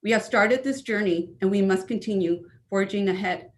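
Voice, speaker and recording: A woman, an assistant principal, giving a prepared presentation to a school board in a brisk, determined way. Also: medium pitch.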